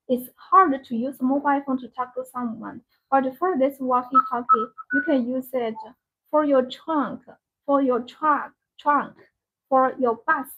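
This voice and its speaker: A woman hosting a live product demonstration and sales pitch, speaking in halting, repetitive non-native English phrasing. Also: husky. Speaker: live-stream sales host. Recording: tinny phone-like live-stream audio.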